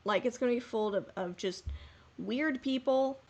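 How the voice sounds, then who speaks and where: A woman's voice: fairly high pitch, moderate pace. A woman hosting a podcast, chatting casually and informally as she speculates in a fan discussion. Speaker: podcast host.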